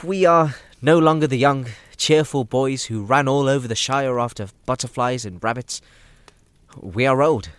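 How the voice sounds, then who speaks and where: A man voicing a genial, self-mocking elderly hobbit gentleman in an audio drama, polite and hesitant. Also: medium pitch, normal speed, clear, resonant tone, dry recording, pitched above the performer's own voice, close to an everyday voice. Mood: wistful.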